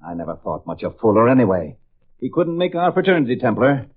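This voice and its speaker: Bitter aging athlete, wildly swinging pitch. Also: male voice, big pitch swings, fast. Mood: scornful boasting. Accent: American. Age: middle-aged. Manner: boastful, menacing. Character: bitter aging ex-football star, a jealous, deluded father. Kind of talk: 1950s radio drama acting. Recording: muffled vintage radio recording.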